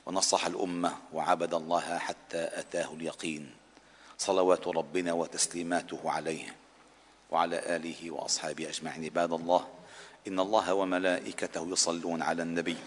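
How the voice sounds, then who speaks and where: A man leads the supplications of a sermon, his voice sitting low. He is measured at first and grows fervent as he pleads. A long reverb trails each phrase through the hall. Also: a bit bright. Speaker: preacher. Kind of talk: Friday sermon closing supplication.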